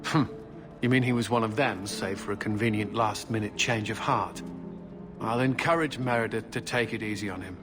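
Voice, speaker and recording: A man's voice: fairly low pitch, normal speed, music in the background, close to an everyday voice. A man playing a skeptical military knight officer in a video game, formal, brusque and commanding. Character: stern, skeptical male templar officer. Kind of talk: dramatic video game acting.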